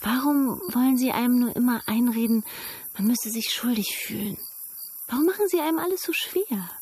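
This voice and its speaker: High-voiced, headstrong young sweetheart. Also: female voice, moderate pace, a little mellow, slightly rough. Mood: reproachful. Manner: challenging, direct. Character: defiant young woman in love, impatient, headstrong. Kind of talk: radio drama acting.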